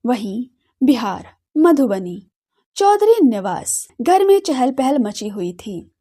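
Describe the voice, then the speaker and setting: A woman's voice: a little high, very animated, takes it slowly, warm tone. A woman narrating a scripted audio drama story as a radio storyteller.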